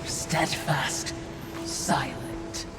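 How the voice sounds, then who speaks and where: A woman's voice: very deep voice, fairly even intonation, drawn-out words, heavily acted. A woman voicing a sly, obsequious servant spirit in a fantasy video game, silky, fawning and overly formal. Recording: echoey, under loud music.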